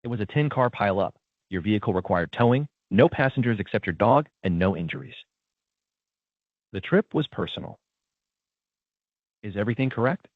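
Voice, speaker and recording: A man's voice: fairly low pitch, lively intonation, moderate pace, pauses often, no echo. A man's synthetic voice acting as a polite, procedural automated claim agent with scripted customer service lines. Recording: narrow tinny phone-like sound.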